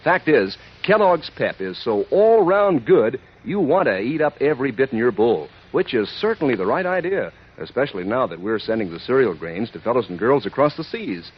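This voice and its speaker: A man giving a chummy, folksy 1940s radio advertising read as the announcer and pitchman. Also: very animated, moderate pace, few pauses, slightly rough. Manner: chummy, folksy sales patter. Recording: muffled 1940s radio recording.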